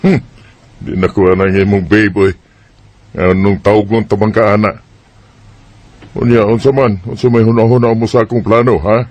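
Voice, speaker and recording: Man playing a husky, weary father. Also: on the low side, little pitch movement, normal speed, pauses often, a little mellow, no room sound, natural voice. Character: tired father facing divorce.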